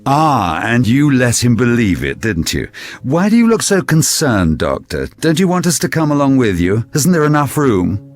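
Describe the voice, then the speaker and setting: An actor playing a pompous, suspicious Victorian naval commander in an audio drama, sneering and sarcastic. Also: male voice, medium pitch, sing-song, normal speed, soft background music, lightly acted. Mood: suspicious, needling. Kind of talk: period audio drama acting.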